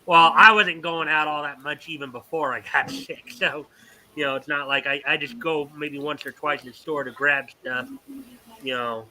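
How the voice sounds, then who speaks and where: A man's voice: on the high side, fairly even intonation, normal speed, sharp tone, a little hiss or hum, rough recording. A man with a stuffy nose from a mild cold, chatting casually on a podcast with lots of fillers. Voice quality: slightly nasal. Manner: rambling, many fillers and restarts. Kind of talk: casual chat.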